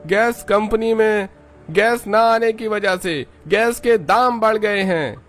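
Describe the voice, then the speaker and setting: A man voicing a village gas dealer in a cartoon, calling out a public announcement in drawn-out phrases. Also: mid-range voice, soft background music, lightly acted. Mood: proclaiming.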